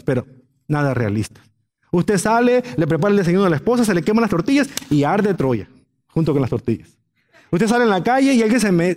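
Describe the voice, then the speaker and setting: A man's voice: sits high, darkish tone. A man preaching a Bible-teaching sermon as a pastor, emphatic and illustrating his points with everyday examples. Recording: long hall reverb.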